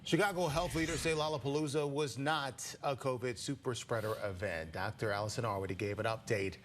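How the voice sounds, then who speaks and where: A man's voice: medium pitch, brisk, a little hiss or hum. A man with an American accent anchoring a morning newscast, moving between scripted reading and brief banter. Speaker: TV news anchor.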